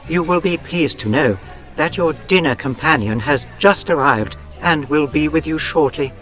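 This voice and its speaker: A man performs a courteous robot waiter from a sci-fi audiobook, pitched on the high side. The delivery is formal and servile, with an artificial inflection, and music plays clearly behind it. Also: moderate pace, fairly bright tone, lightly acted. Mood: polite, formal. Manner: courteous, formal butler-like phrasing. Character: polite protocol droid waiter, male-voiced, formal and servile. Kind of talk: audiobook character voice.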